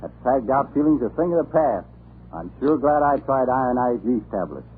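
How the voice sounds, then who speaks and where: A man's voice: medium pitch, very animated, moderate pace, lightly acted. An American man playing a plain-spoken, earnest working everyman in a 1940s radio advertisement. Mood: upbeat, relieved. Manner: folksy, earnest. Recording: muffled, noisy old radio broadcast.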